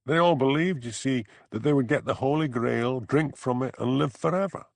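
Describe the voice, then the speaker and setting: A man's voice: medium pitch, normal speed, vocal fry, no echo, voice pushed up, lightly acted. A man playing a shamefaced, anxious Scottish village father in an audiobook. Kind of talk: audiobook character dialogue.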